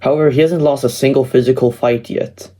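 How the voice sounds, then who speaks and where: A man's voice: medium pitch, fairly even intonation, brisk, mellow. Man narrating a scripted versus-battle explainer. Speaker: video narrator.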